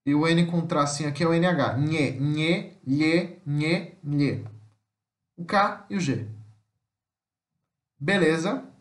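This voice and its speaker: A man with a Brazilian Portuguese accent giving an informal lesson, reading aloud and explaining haltingly, often checking that listeners follow. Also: fairly high pitch. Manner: halting, repetitive, frequent tag questions to check understanding. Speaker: language teacher. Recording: roomy, echoing voice-over.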